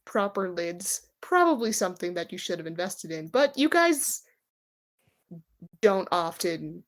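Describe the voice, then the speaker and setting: A woman's voice: fairly low pitch, big pitch swings, a little slow. A woman running a tabletop roleplaying game, narrating in a conversational, descriptive way as she improvises. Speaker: game master. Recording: music behind the voice. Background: background music.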